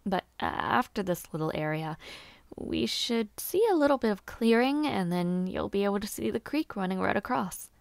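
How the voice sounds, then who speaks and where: A woman playing a gentle, shy and eager young noblewoman who aspires to knighthood, chatting softly and politely in an intimate audio roleplay. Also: mid-range voice, expressive, moderate pace, creaky, dry recording. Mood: cheerful, guiding. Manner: soft-spoken, chatty, polite, slightly bashful, giggly. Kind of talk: intimate audio roleplay, one-sided conversation.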